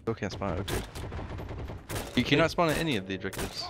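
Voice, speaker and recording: Man, animated intonation, excitable gamer banter, repeated words, swearing, clear gunfire and artillery behind. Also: lowish voice, fairly quick. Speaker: gamer, squad teammate. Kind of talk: casual gaming banter. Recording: clear gunfire noise over voice. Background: game gunfire, artillery and clicks.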